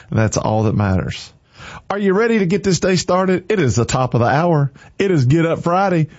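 A man's voice: a little high, no echo. Male morning radio host, preachy and fervent, dry close studio sound. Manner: fervent, preachy, repeats short commands. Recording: dry, slightly muffled studio.

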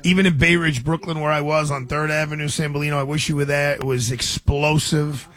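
A man's voice: a little high, steady tone, a little fast, a bit bright, dry recording. A man hosting a radio talk show, chatting energetically with frequent restarts.

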